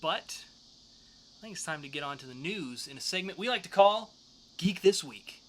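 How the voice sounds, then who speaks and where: A man's voice: fairly high pitch, lively intonation, normal speed, over loud music. A man co-hosting a podcast, talking through news and reviews in a casual, joking way. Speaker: podcast co-host. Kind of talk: news segment and comics review.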